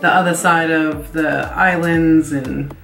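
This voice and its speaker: A woman's voice: deep voice, fairly even intonation, slow, vocal fry, a bit bright, reverberant, soft background music. A woman in her forties co-hosting a casual vlog, chiming in with short, agreeable and teasing remarks. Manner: brief, agreeable interjections, teasing. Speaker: vlog co-host. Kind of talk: casual chat. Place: indoors, seated before a virtual backdrop.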